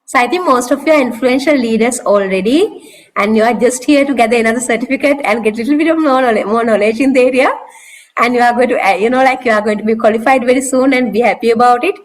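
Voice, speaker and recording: A woman with a fairly high voice walks through a course outline for an online class. She talks in a loose, conversational way and fills gaps with casual asides. She comes through over a video call. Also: fast, low-quality recording. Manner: conversational, loose with fillers like "you know, like". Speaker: course instructor. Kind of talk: online course orientation talk.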